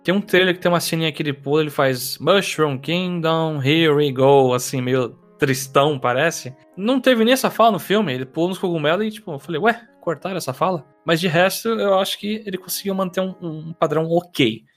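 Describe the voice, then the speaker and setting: A man co-hosting a casual film review podcast, animated and acting out quotes as he tells stories. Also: on the high side, a little fast, few pauses, faint music behind. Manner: animated storyteller, acts out quotes in raised voice. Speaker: podcast co-host.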